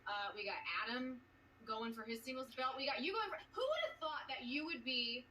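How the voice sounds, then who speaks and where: A woman giving an excited, emphatic pep talk as a team manager on a video call. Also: high voice, a little fast. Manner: enthusiastic, emphatic. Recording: distant mic with background noise.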